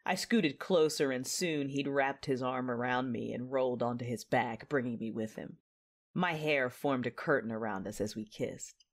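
A woman playing a resolute, battle-hardened female Jedi who tells her story in measured, dramatic first-person narration for an audio drama. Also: extremely low pitch. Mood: intimate, reflective. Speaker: narrator and lead character.

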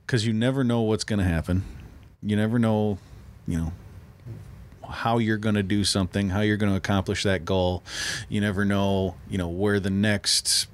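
A man with a fairly low voice talks casually, like a podcast host among friends. He drives his points home by repeating himself with emphasis. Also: steady tone, moderate pace. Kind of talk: casual chat about fishing.

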